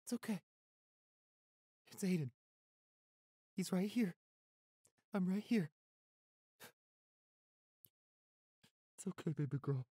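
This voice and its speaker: A young man acting an anxious, devoted boyfriend in a roleplay audio, halting and stammering as he pleads. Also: high voice, lively intonation, dark tone, breathy edge, no echo, clean studio sound, full character voice. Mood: soothing, hushed.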